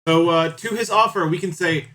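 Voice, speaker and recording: Higher-pitched man, playful livestream banter. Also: normal speed, bright, forceful tone. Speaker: livestream host reading out game and chat choices. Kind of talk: casual stream chat and commentary on a visual novel.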